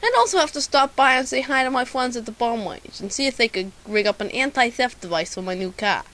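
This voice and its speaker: A woman's voice: fairly high pitch, expressive, brisk, a bit bright. A woman playing a bumbling, self-important private detective in an amateur radio drama, with a pompous, theatrical delivery. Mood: self-important narrating.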